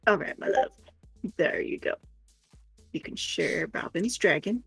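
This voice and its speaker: A woman's voice: on the low side, animated, a little slow, gravelly, fairly bright tone, slightly rough, dry recording, faint music behind. A woman co-hosting a stream in casual chat, affectionate and encouraging as she talks. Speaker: stream co-host helping with the chat.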